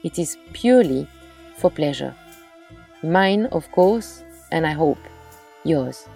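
A low-pitched woman with a gravelly edge to her voice narrates a storytelling podcast from a script. She speaks in a measured, deliberate way, slowing down on the words she wants to land. Faint music sits underneath her voice. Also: mellow.

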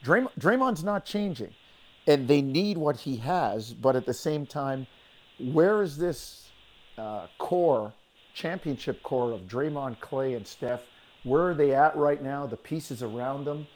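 A man's voice: medium pitch, lively intonation, a little slow. A man co-hosting a sports podcast, interviewing conversationally with long, discursive questions. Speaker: podcast co-host.

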